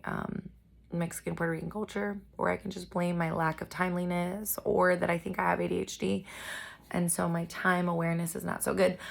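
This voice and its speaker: A young woman telling a story in a casual vlog, chatty and animated, talking straight to her viewers. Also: lowish voice, vocal fry. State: congested from a cold. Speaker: vlogger and poet.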